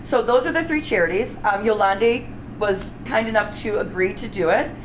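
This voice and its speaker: A woman's voice: mid-range voice, animated, normal speed. A middle-aged woman hosting a cooking series in a casual, chatty, enthusiastic, unscripted way. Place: restaurant kitchen, indoors. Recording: clearly noisy kitchen ambience.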